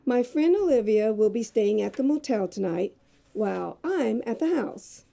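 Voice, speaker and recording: Determined female reporter, played by a woman, audio drama: high, soft-toned, earnest and polite. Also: expressive, moderate pace, gravelly. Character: determined, independent young female magazine reporter.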